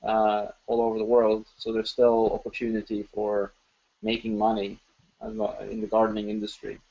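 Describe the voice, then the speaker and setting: A man's voice: fairly low pitch, monotone, moderate pace, vocal fry, warm tone. Chatty man hosting a lo-fi webinar. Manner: chatty, filler-heavy, enthusiastic. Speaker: webinar host. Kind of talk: live webinar Q&A. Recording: dull lo-fi webinar audio.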